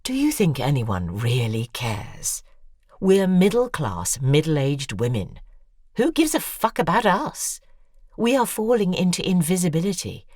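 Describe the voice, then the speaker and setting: An actress playing a persuasive, bitter middle-aged woman writer in an audio drama, intense and sardonic, with a husky voice. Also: lowish voice, big pitch swings, measured pace, darkish tone, no echo, studio quality.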